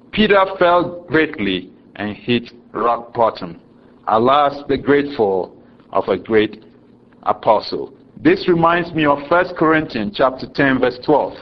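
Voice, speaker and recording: A Ghanaian man delivers a sermon at an unhurried, measured pace, his voice sitting a little high for a man. He chuckles often between points and sinks lower for the solemn passages. The recording is dull and lo-fi. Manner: slow, deliberate preaching with frequent chuckles, dropping low for solemn lines. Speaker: preacher.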